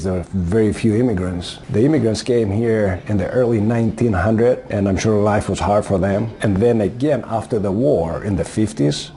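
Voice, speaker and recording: A man in his sixties from Greece, speaking in a lowish, warm, mellow voice. He answers interview questions casually, hesitating often and filling the pauses as he goes. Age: 60s. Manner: hesitant, many fillers.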